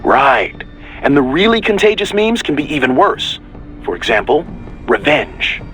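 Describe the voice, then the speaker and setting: An actor playing a philosophical mission advisor in a video game, explaining things emphatically. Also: male voice, fairly high pitch, big pitch swings, measured pace, sharp tone, faint music behind, full character voice. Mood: explanatory, intense. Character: intellectual male mission advisor, animated, philosophical.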